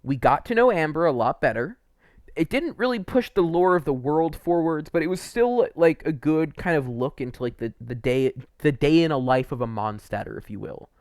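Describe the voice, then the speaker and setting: Casual young man, slightly high-pitched, close dry sound. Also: expressive, normal speed, darkish tone, dry recording. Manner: informal, enthusiastic, mildly sweary. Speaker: video game reviewer. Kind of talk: casual unscripted opinion commentary.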